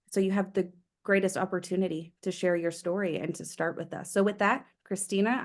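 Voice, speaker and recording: Casting: woman hosting a video call, casual and encouraging. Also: fairly low pitch. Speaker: team leader hosting the call.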